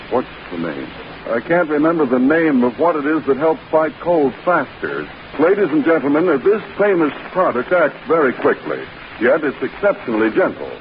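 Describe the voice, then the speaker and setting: An American man announces an old-time radio commercial, halting as he searches for words. His fairly high voice is expressive, on a muffled vintage recording. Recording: dull, faintly noisy vintage radio recording.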